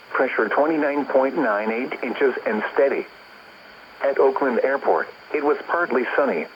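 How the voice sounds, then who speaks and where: A synthetic man's voice with an American accent reads a scripted weather bulletin as a formal, measured automated radio announcer. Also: medium pitch, highs cut off. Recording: noisy weather radio broadcast.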